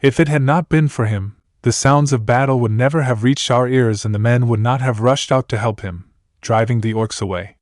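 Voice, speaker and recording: A man voicing a grown village mother who tells a memory in a soft, calm way. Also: mid-range voice, moderate pace, no room sound, clean studio sound, close to an everyday voice.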